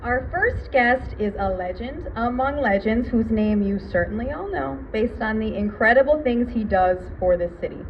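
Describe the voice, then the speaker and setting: A woman hosting a public ceremony, giving an earnest, rousing prepared speech in measured phrases. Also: fairly high pitch, animated. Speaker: event MC. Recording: muffled event recording with background noise.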